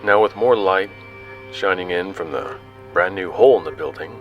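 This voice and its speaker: A man playing an explorer who recounts his expedition in a sci-fi audio drama, halting, informal and conversational. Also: low-pitched, lo-fi sound, natural voice. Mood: animated recounting. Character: young male explorer recounting his expedition, awed and casual. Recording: music under the voice.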